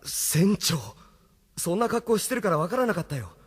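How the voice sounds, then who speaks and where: A man acting in a Japanese audio drama as an earnest, anxious young hero, with a husky, breathy voice. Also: high voice, expressive, normal speed, no echo, studio quality. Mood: surprised, anxious.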